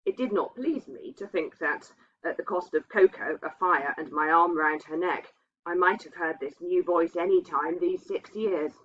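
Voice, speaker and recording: A woman's voice: lowish voice, moderate pace, fairly bright tone. A woman reading a literary ghost story aloud as a narrator. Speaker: reader. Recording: dull, muffled lo-fi sound.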